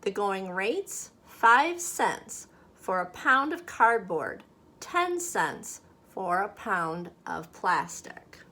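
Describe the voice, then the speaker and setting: A woman from a church talking to children and reading a storybook aloud in a deliberate, expressive way. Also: medium pitch. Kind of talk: children's read-aloud and friendly talk to kids.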